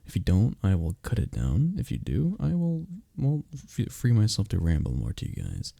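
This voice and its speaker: Deep, gravelly man rambling casually. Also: huge pitch swings, normal speed, warm tone, no echo, studio quality. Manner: apologetic, encouraging. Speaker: audio roleplay creator. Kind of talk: casual rambling sign-off to listeners.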